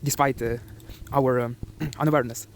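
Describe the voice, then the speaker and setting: A man's voice: medium pitch, steady tone, moderate pace, creaky, background noise. A young man with a strong Ukrainian accent giving a loose, earnest motivational monologue in an old vlog, with frequent hesitations. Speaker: vlogger.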